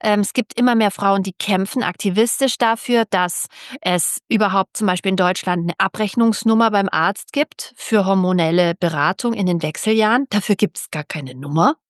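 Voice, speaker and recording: A woman's voice: mid-range voice, moderate pace, no room sound, clean studio sound. A middle-aged woman speaking candidly and emphatically as an author being interviewed on a podcast, often repeating words for emphasis.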